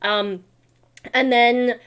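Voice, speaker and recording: A woman's voice: a little high, fairly even intonation, very slow, fairly bright tone, clean tone. Rambling, tired woman vlogger. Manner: tired, rambly, self-deprecating, trailing asides. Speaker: vlogger and metal vocalist. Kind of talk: rambling casual vlog chat.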